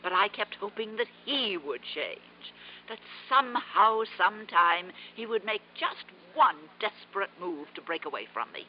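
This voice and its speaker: An actress playing a wealthy, willful old aunt, eccentric and secretly sentimental, in theatrical 1950s radio drama style. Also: female voice, medium pitch, expressive, moderate pace, natural voice. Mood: wistful. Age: older. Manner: theatrical, imperious. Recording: muffled, noisy vintage radio recording.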